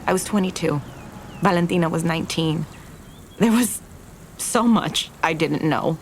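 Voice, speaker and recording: An actress playing an earnest, regretful young restaurant owner in an audio drama, confessing in a halting, naturalistic way. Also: female voice, lowish voice, a little hiss or hum, lightly acted. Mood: solemn, reflective.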